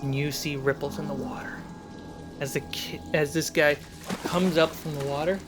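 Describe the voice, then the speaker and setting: A man narrating a tabletop fantasy game as game master, in a measured, suspenseful way with dramatic pauses. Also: a little high, steady tone, very noisy. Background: cinematic music score.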